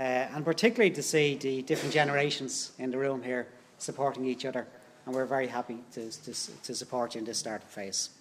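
A man, a local official giving a short, courteous and slightly halting formal address. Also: mid-range voice, long reverb tail, slight background noise.

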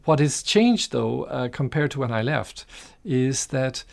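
An Irish-accented man, middle-aged or older, reflecting and telling stories as an interview guest, with halting restarts. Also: medium pitch, expressive, gravelly. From Ireland. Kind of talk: reflective conversational storytelling.

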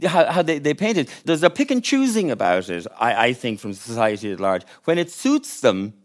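A man's voice: mid-range voice, big pitch swings, normal speed. A man hosting a panel discussion, asking probing questions. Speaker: host.

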